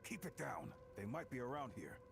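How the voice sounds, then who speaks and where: Gruff, mocking martial-arts companion. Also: male voice, medium pitch, very animated, moderate pace, background noise. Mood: wary. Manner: curt, mocking. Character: gruff, sardonic, bossy male wuxia companion. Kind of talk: video game voice acting.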